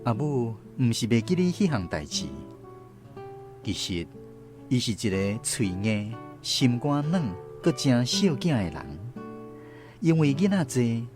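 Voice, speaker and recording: A man with a Taiwanese accent tells a scripted first-person story as radio drama narrator. His mid-pitched voice moves up and down expressively, over faint guitar music. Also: big pitch swings, a little slow.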